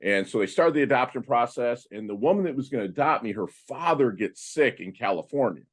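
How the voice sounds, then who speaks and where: A man in his fifties, a podcast guest, telling his life story in a casual, animated way with many fillers and restarts. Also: medium pitch, brisk. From Philippines. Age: 50s. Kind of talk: casual interview talk.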